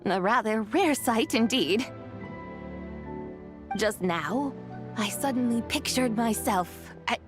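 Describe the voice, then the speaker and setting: Slow, high-voiced theatrical young woman. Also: lively intonation. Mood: amused, musing. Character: whimsical, theatrical young woman posing as legendary horse adeptus. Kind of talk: video game character acting. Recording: game music behind the voice.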